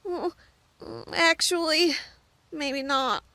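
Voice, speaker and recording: A woman's voice: high-pitched, drawn-out words, sharp tone, no room sound, full character voice. A woman voicing a timid young farm boy, childlike and pleading, in an audio drama. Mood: hesitant.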